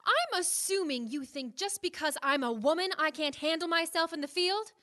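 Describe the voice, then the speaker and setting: An actress playing a proud, no-nonsense anthropologist adventurer, assertive and precise, in a sci-fi audio drama. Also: female voice, extremely high pitch, expressive, normal speed, pushed and bright, dry recording, studio quality, heavily acted. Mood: indignant, firm.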